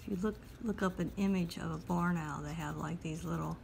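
A woman calmly talking through a step-by-step drawing lesson as she sketches at a table. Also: on the low side, gravelly, slight background noise, poor audio. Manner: calm, unhurried, talks while drawing. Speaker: drawing instructor. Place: at a table indoors.

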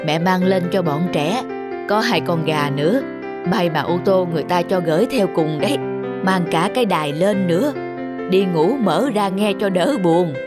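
A woman voicing a gentle, lonely elderly country grandmother in an animated family drama, homely and apologetic. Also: on the low side, moderate pace, lightly acted. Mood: homely, kind. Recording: music under the voice.

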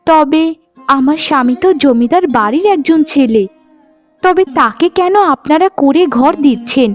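A woman's voice: sits high, animated, moderate pace, soft background music. A woman voicing a devoted young bride in a cartoon, speaking in an earnest, plaintive way. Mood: puzzled, hurt. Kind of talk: cartoon storytelling dialogue.

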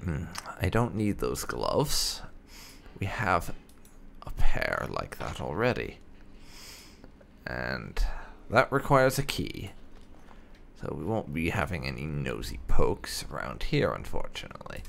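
Casting: male gamer, very animated low pitch with creaky edge, polite, musing playthrough commentary. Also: takes it slowly, slight background noise. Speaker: game streamer doing roleplay playthrough. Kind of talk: casual gameplay commentary, reading dialogue choices aloud.

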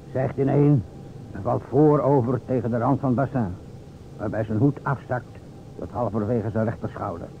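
An actor playing a solemn, theatrical investigator in an old radio drama, speaking formally and deliberately to a widow in a gravelly voice. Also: male voice, close to an everyday voice. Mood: grave narration. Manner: formal, deliberate, dramatic storytelling with pointed pauses. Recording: vintage radio, narrow band, hiss and rumble.

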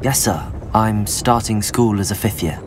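A man's voice: fairly low pitch, measured pace, background noise, natural voice. A man playing a polite, earnest young wizard student in a video game, speaking deferentially. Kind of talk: cinematic game dialogue acting. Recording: loud music under the dialogue.

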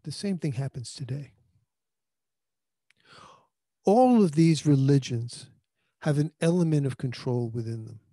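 New pastor preaching into handheld mic. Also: male voice, mid-range voice, animated, normal speed, warm tone, no echo, studio quality. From New York. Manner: earnest conversational preaching with frequent restarts. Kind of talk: sermon. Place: small indoor room. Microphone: handheld microphone.

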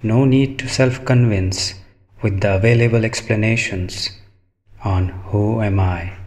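A man leading a guided meditation in a calm, measured manner, speaking in short phrases with long pauses. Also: fairly low pitch.

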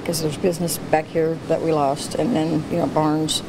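Low, flat-voiced woman in a noisy shop. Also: gravelly. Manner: halting, shaken recollection. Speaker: gas station employee. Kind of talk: interview. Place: indoors in a small shop. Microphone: handheld mic.

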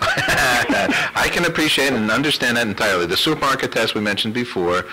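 A man's voice: medium pitch, expressive, fairly quick, very noisy. A man hosting a casual radio phone interview, chatty, laughing often as he reads through a list. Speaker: radio show host and producer.

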